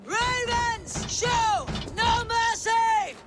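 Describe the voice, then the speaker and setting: High-voiced, fierce Viking warrior. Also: male voice, drawn-out words, heavy background noise, heavily acted. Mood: fierce, commanding. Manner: forceful, declamatory. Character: proud, vengeful young Viking warrior, fierce and resolute. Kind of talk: dramatic video game acting. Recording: loud music over the voice.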